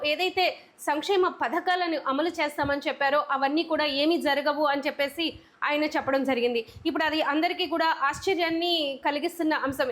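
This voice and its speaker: A woman's voice: high voice, fairly bright tone. A woman presenting political news commentary in a wordy, explanatory way that circles back over phrases.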